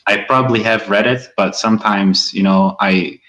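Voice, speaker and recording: Brief: Moroccan male caller, very low voice, halting and agreeable, dull lo-fi audio. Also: steady tone, fairly bright tone. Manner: hesitant, agreeable, searches for words. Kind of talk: call-in conversation.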